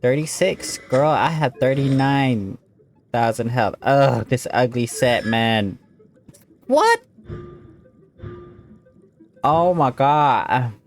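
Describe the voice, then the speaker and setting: A man's voice: mid-range voice, lively intonation, very slow, long pauses, a little hiss or hum. A man giving casual gameplay commentary, muttering his reactions as he plays. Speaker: gaming streamer.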